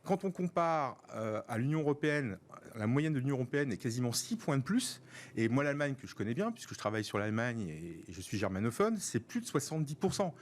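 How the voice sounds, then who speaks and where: A middle-aged male guest with an accent from France speaks at a medium pitch. He explains his views in a structured, measured way, repeating words to stress his points. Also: sing-song. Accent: French from France. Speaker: interview guest, senior professional. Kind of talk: explanatory interview talk.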